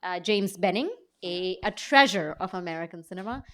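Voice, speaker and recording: A woman's voice: fairly low pitch, animated, normal speed. A woman co-hosting a live panel, presenting to an audience in a conversational way. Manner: speaks to an audience. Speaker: co-host and magazine editor. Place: live event on stage before an audience.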